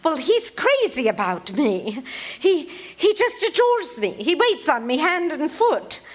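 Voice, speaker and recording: A woman's voice: high-pitched, very animated, slow pace, full character voice. A woman acting a frightened bedridden wife in a live radio play, stammering and sobbing. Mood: anxious. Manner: frantic, stammering, breaks into sobs. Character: frightened bedridden wife, middle-aged, anxious and demanding.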